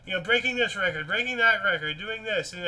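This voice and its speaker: A man with a high, very sharp and forceful voice hosts a casual news chat. He moves between reading stories aloud and rambling commentary, often circling back and repeating words in an animated way. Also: fast talker, poor audio. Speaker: host of a weird-news talk show.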